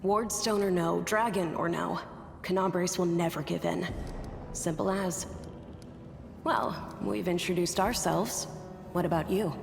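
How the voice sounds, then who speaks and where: Slow, gravelly adventurer woman. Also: on the low side, fairly even intonation. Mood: steadfast, encouraging. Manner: colloquial, wry, unhurried. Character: gritty, plucky injured woman companion, dry-humoured and stubborn. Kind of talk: fantasy video game voice acting. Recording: echoey reverberant hall, music behind. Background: game music.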